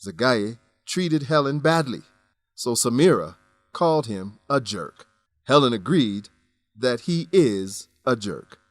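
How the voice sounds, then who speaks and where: A man narrates a scripted English lesson in a deliberate, instructional way. His voice is mid-pitched, and the sound is very dry, with no room echo. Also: expressive, faint music behind.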